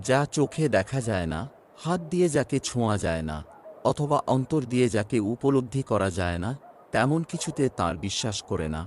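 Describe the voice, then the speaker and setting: A man narrating a scripted horror story as a storyteller, in a dramatic, measured delivery. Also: fairly low pitch. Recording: music under the voice. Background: background music.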